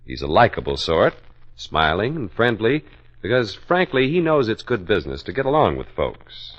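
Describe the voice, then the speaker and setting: A man's voice: fairly low pitch, big pitch swings, moderate pace. A man with an American accent reading a scripted old-time radio advertisement in a folksy, polished salesman style. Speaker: radio announcer. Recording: dull old radio recording.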